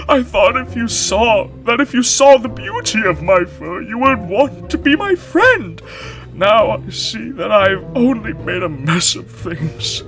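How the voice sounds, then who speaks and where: A man's voice: a little high, fairly bright tone, voice pushed up, full character voice. Pompous lion, overacted and swooping; male narrator voicing him, music under. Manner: grand, drawn-out, theatrical delivery.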